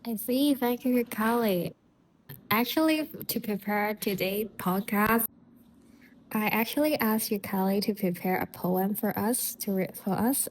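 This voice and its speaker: An unhurried woman from Hong Kong hosts a casual reading podcast, her phrasing loose and friendly. She speaks at a slow, easy pace, with a faint hum of ambience behind her. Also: medium pitch, poor audio. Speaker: podcast host.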